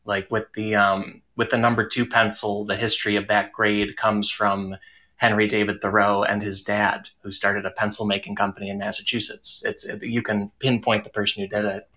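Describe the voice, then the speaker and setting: Bright-voiced, halting male podcast panelist. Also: on the low side, flat delivery, rough recording. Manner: halting, enthusiastic. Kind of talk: casual panel discussion.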